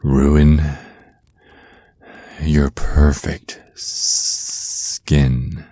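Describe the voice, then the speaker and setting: Very deep, slow vampire naga voiced by a man. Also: flat delivery, dark tone, no room sound, heavily acted. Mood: hungry, seductive. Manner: slow, teasing, condescending, threatening endearments. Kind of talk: ASMR roleplay acting.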